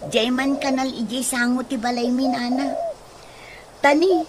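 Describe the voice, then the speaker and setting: Woman acting friendly village wife, ilocano accent, highish voice, radio comedy, noisy behind. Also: steady tone, unhurried. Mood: chatty. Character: neighborly, chatty village wife named Gemma. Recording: noisy track with outdoor ambience.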